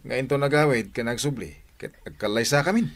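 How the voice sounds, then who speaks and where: A man's voice: mid-range voice, fast talker, natural voice. An actor with a Filipino accent playing a teasing but troubled young man confiding in his buddy in a radio drama.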